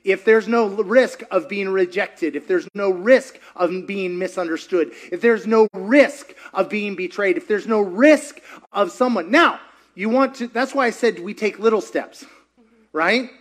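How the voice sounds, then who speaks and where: A man's voice: high voice, a little slow. A man, a pastor, teaching in a sermon style, repeating lines for emphasis and questioning the audience.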